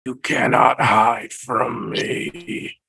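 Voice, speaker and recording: Casting: male actor as menacing monster villain, gravelly and husky, flat monotone, taunting, music underneath. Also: mid-range voice, extremely slow, no echo, heavily acted. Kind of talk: fan table read acting. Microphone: video call. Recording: dry video-call audio, music underneath.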